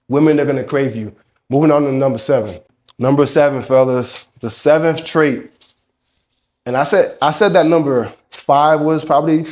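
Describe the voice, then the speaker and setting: A man's voice: a little high, darkish tone. A man with a congested voice from a cold, coaching men in an emphatic, preachy talk that swears freely. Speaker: dating coach. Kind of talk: motivational talk to camera.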